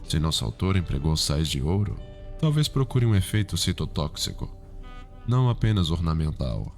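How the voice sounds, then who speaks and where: Man acting a deep-voiced, refined serial killer. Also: big pitch swings, normal speed, gravelly, faint music behind, heavily acted. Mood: clinical, savoring. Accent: Brazilian. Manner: pompous, precise, savoring his words. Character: refined, erudite, sinister male serial-killer consultant. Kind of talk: ASMR roleplay acting.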